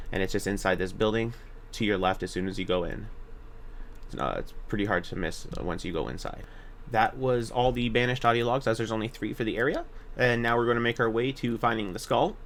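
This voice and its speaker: Low-pitched man giving a casual game guide. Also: a bit bright, a little hiss or hum. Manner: informal, hesitant, self-correcting. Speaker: gaming video guide narrator. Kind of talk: casual game walkthrough commentary.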